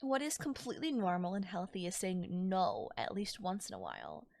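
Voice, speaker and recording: A woman playing a caring, affectionate girlfriend in a soft, intimate ASMR audio roleplay, speaking in a tender, coaxing way. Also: on the low side, wildly swooping pitch, normal speed, no echo, studio quality, heavily acted. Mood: earnest, reassuring. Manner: tender, soothing, coaxing, breathy near-whispers at times. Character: caring, affectionate girlfriend comforting a tired partner. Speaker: voice actor. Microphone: close microphone in a dry space.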